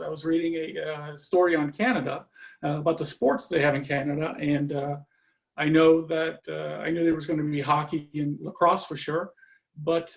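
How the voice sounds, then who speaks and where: A man from Norway chatting as a guest on a video-call interview, relaxed and full of fillers, trailing off often. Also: on the high side, creaky, low-quality recording. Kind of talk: casual conversation.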